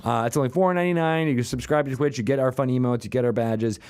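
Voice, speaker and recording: A man's voice: medium pitch, quick pace, darkish tone, clear, resonant tone. A man with a mid-range voice hosts a casual talk show. He rambles and jokes in a stop-start way, chatting loosely as he goes. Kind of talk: casual chat with co-host and guests.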